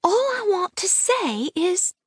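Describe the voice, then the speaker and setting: A woman's voice: very high-pitched, sing-song, slow pace, dry recording, clean studio sound, pitched above the performer's own voice, full character voice. A woman voicing an eager, wilful ten-year-old storybook girl with a small treble voice. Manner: eager, insistent.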